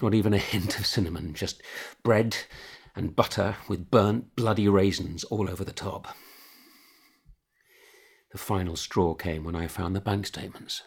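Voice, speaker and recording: A man with a British accent playing a weary, exasperated husband in a dramatic monologue, with a husky, gravelly voice. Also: sits low, full character voice. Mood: weary, bitter. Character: weary, exasperated, grieving husband telling his tragic story. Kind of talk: dramatic first-person monologue.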